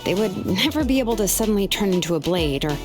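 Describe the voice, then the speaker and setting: Actress doing a chill young craftswoman from a game, matter of fact but lively intonation, faint music behind. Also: medium pitch, fast, natural voice. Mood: calm, explaining. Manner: explains at length, matter-of-fact. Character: laid-back young female artisan, thoughtful, a little weary. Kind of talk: video game character dialogue.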